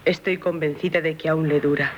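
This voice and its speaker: An actress playing a gentle, concerned young sister in a 1970s radio drama. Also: female voice, low voice, steady tone, fairly quick, a little hiss or hum, close to an everyday voice. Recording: muffled 1978 radio broadcast recording.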